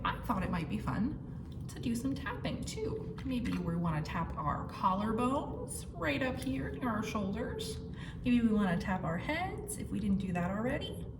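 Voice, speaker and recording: A woman leading a children's storytime, talking to young children in an encouraging, conversational way. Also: on the high side. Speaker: library storytime leader. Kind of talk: children's storytime with action songs. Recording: roomy echo, distant mic, clear noise.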